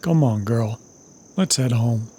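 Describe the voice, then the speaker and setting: An actor playing a weary, bewildered bounty hunter in an old-time western radio drama, with a husky voice. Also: male voice, mid-range voice, slow pace, warm tone, a little hiss or hum, lightly acted. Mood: weary urging.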